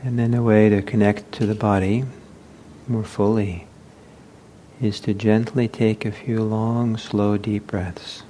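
A man leading a guided meditation as a teacher, speaking gently and unhurriedly with halting pauses. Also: little pitch movement. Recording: low rumbling background noise.

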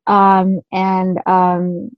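Woman participant from California, halting delivery, dull lo-fi call sound. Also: lowish voice, monotone, no room sound. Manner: many fillers. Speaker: course participant. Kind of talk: hesitant self-introduction. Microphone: video call. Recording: dry, dull call audio.

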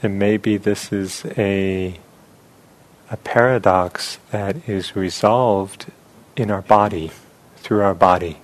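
A man leading a guided meditation as a teacher, speaking reflectively in short phrases with long, unhurried pauses. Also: low voice, fairly even intonation.

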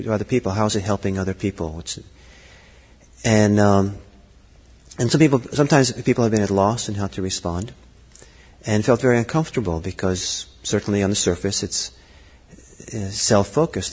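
Low husky man in a reverberant hall. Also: a little mellow. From Norway. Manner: thoughtful, halting, many fillers and restarts. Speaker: Buddhist teacher. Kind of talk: dharma talk.

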